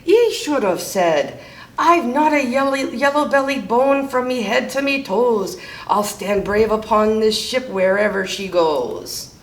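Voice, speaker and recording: Blustery male pirate skipper, woman reader, storybook style, hollering, very animated, echoey room. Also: medium pitch, measured pace. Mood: scolding. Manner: bellowing, hollering pirate talk. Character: blustery, demanding, short-tempered male pirate captain. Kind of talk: children's storybook character voice.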